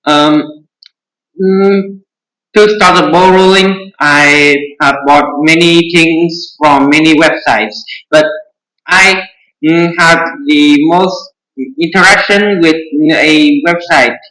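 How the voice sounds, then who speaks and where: A teenage boy from Vietnam speaking English with a Vietnamese accent, answering exam questions slowly with many hesitant fillers. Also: fairly high pitch, fairly even intonation, bright, forceful tone, reverberant, rough recording. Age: teens. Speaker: test candidate.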